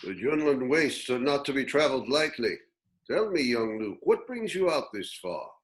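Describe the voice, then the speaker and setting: Man playing a kindly, measured old desert sage. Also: medium pitch, big pitch swings, natural voice, rough recording. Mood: musing. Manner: measured, reflective, reassuring. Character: old wise desert hermit, gentle and kindly mentor. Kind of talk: script table read acting.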